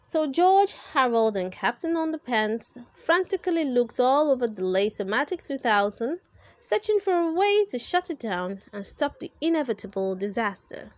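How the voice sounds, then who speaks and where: A woman narrates a children's story with a fairly high voice and very lively pitch movement. She takes her time, leaning dramatically on key words. The sound is close and dry. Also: no room sound. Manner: dramatic, expressive delivery with drawn-out emphasis. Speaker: storyteller reading a children's book aloud. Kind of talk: animated read-aloud narration for kids.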